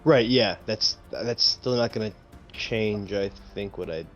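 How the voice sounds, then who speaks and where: Man player, low voice, dull lo-fi sound, measured. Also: a bit bright, faint music behind. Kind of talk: casual game talk. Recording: dull lo-fi mic.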